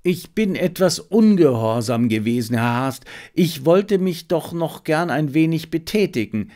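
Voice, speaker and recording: Eager messenger kid, done by a male reader, earnest n excitable, pitch hops around, audiobook story. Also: measured pace. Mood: sheepish, eager. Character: eager, disobedient messenger boy playing junior sleuth.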